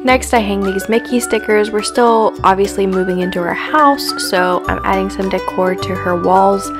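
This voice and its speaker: A young woman giving a steady, scripted voice-over narration of her cleaning routine. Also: mid-range voice, normal speed. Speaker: lifestyle vlogger. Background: background music.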